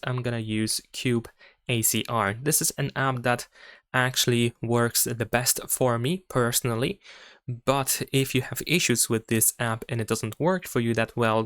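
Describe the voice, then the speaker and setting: Guy doing a phone how-to, smooth clean voice, really dry close sound, explains each step methodically. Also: mid-range voice, fairly bright tone, no echo. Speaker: tech tutorial presenter. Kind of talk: step-by-step instructional narration.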